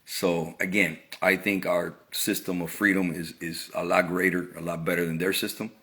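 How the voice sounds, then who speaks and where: Middle-aged man from Cuba, deep voice; earnest off-the-cuff opinion piece, restarts phrases. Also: level tone, moderate pace, bright, forceful tone. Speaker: vlogger. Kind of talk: casual opinion monologue.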